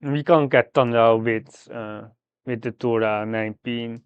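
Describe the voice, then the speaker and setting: A man live-streaming a game, thinking aloud through his moves in a halting, fragmented way. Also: medium pitch, fairly even intonation, clear, resonant tone, no room sound, highs cut off. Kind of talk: live mahjong gameplay commentary, thinking aloud.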